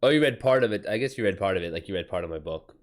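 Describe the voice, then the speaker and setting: A man's voice: sits low, animated, rapid-fire. Casual, slangy man on a livestream. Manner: informal, frequent swearing, slangy; rambling, retells exchanges in quoted back-and-forth. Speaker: author livestreaming and chatting with viewers. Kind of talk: casual livestream chat with anecdotes.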